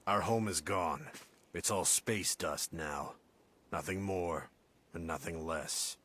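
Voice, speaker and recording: A man playing a stern, battle-worn alien soldier in a video game cutscene, speaking gravely and deliberately with commanding weight. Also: deep voice, fairly bright tone, slight background noise. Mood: grim. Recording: game music behind the voice.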